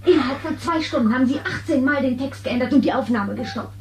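An actress in a 1970s radio drama, playing a flustered, exasperated studio director. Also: female voice, high-pitched, fast talker, darkish tone, slight background noise, close to an everyday voice. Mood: harried. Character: harried female studio director. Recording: echoey room, voice at a distance.

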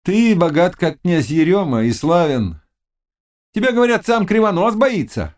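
A man voicing a mocking, scheming Cossack witch woman in an audiobook, with loud, taunting exclamations. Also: high-pitched, sing-song, normal speed, voice pushed up. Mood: goading. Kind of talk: audiobook character dialogue.

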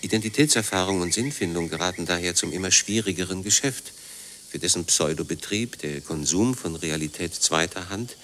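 Low-voiced male essayist. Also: a little fast, a bit bright, slight background noise. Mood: measured, critical. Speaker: reader of a philosophical essay. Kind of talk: scripted essay reading in a radio drama.

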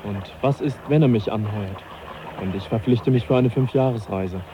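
A man acting in a German radio drama as a reserved, uneasy young gentleman naturalist, speaking in a sober, formal, dry way. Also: on the low side, moderate pace, natural voice. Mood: sceptical, dry. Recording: dull, noisy 1980s radio drama.

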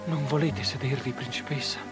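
An actor playing a deferential, uneasy young captain, courteous and earnest, in a stylized radio drama. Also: male voice, mid-range voice, steady tone, normal speed, over music, close to an everyday voice. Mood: anxious courtesy.

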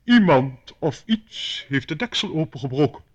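An actor playing a stiffly deferential, dutiful butler in a radio play, formal and ceremonious. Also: male voice, fairly high pitch, animated, normal speed. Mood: deferential, grave.

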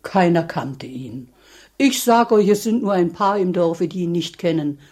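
A plain-spoken village landlady in a radio play. She has a very low, mellow voice and speaks up firmly and protectively, in a homely way. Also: lively intonation, a little slow. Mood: protective, insistent. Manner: homely, emphatic.

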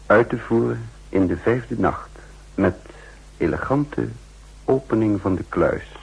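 A man playing a thoughtful double agent in measured, reflective speech for an old radio play. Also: lowish voice, gravelly, a little mellow, breathy edge, heavily acted, low-quality recording. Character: thoughtful, troubled male double agent narrating his story. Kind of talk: 1960s Dutch radio play acting and narration.

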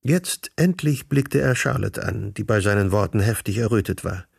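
Gravelly male radio drama narrator. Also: lowish voice, lively intonation, normal speed, darkish tone, dry recording, studio quality.

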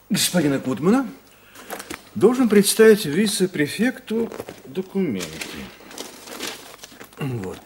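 A man's voice: medium pitch, a little mellow. A man acting an officious lawyer in a radio drama, presenting a case in a formal, declamatory way.

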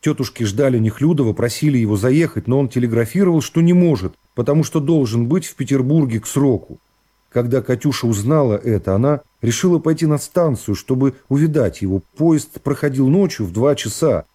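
A middle-aged man reading classic prose as an expressive dramatic narration for a radio serial. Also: medium pitch, a little fast, slightly rough. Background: music.

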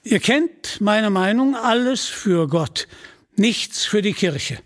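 Man acting a bold outlaw chief, raised pitch, firm, commanding, storybook dialogue. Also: lively intonation, slow, a bit bright, full character voice. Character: bold, principled young male outlaw leader.

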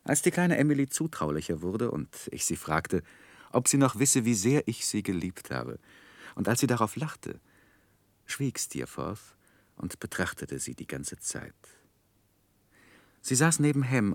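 An actor playing a reflective gentleman narrator recalling his youth in a 1960s radio play, measured and literary. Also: fairly low pitch, sing-song, dry recording.

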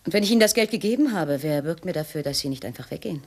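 An actress playing a secretive, tense unfaithful wife in a 1960s German radio crime drama, guarded and pleading in manner. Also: female voice, sits low, expressive, racing, dry recording. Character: tense, secretive unfaithful wife plotting against her husband.

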